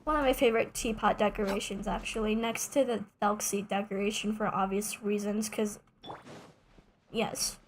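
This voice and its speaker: A woman's voice: medium pitch, fairly even intonation, moderate pace, slight background noise, low-quality recording. A young adult woman streaming a game, chatting casually in a rambling, self-mocking way with joking asides. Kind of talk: casual gameplay streaming chat.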